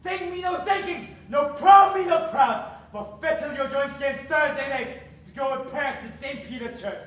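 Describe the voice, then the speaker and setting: Domineering middle-aged patriarch, classical stage drama. High voice, declamatory menace, distant mic. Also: male voice, normal speed, a bit bright. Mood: furious. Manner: declamatory, bullying, contemptuous. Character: enraged domineering middle-aged nobleman father. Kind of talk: theatrical Shakespearean stage acting. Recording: echoey distant stage recording, faint noise.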